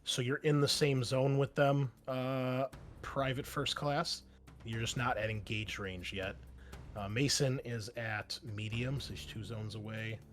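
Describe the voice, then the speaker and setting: A man's voice: mid-range voice, steady tone, unhurried, fairly bright tone, slight background noise. A man narrating a tabletop roleplaying game as game master, in a casual, conversational way. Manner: casual, conversational, mild swearing.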